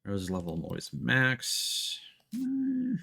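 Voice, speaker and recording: A man giving casual live gameplay commentary as a streamer, often thinking out loud in a halting way. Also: medium pitch, wildly swooping pitch, vocal fry, slightly rough. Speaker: game streamer.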